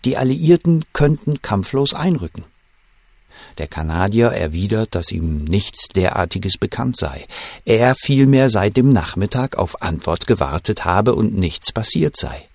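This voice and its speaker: A shaken wartime official, recounts a fateful night in an audio drama. His voice is very deep and rough, recorded close and dry. The telling is measured, inward and full of suspense. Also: sing-song, no echo, exaggerated voice. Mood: urgent, strained. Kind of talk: first-person dramatic narration in an audio drama.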